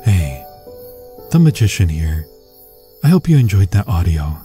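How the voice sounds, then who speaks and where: Male voice actor as channel host, relaxed low tone, scripted sign-off delivery. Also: unhurried, soft background music. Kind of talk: scripted ASMR channel outro.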